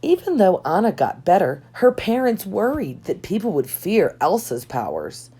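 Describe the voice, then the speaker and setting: A woman reading a children's storybook aloud in an expressive storytelling style, with measured, dramatic delivery. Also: medium pitch, breathy edge. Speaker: storybook reader. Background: background music.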